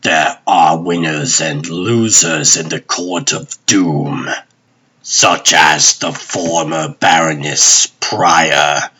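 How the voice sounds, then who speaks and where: A man voicing a grave, solemn guardian in a comic dub, husky, formal and measured. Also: rumbling low, pushed and bright, exaggerated voice. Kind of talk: comic dub character acting.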